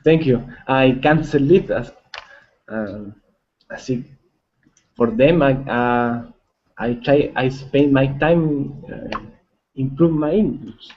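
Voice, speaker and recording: A man with a Chilean accent, a native Spanish speaker learning English, speaking hesitantly and haltingly. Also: medium pitch. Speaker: English student. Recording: dull online call audio.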